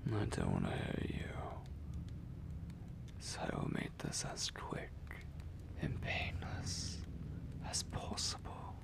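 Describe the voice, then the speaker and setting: A man voicing a possessive, courtly, seductive vampire lord in an intimate ASMR roleplay, murmuring breathily and deliberately close to the microphone. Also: huge pitch swings, full character voice. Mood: seductive, hungry. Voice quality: breathy, gravelly low whisper.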